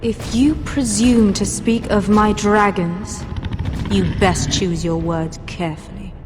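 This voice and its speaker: An imperious female ruler of dragons , played by an actress, speaks in measured warning at a middle pitch. It is dramatic game dialogue with music clearly underneath. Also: steady tone, long reverb tail, close to an everyday voice.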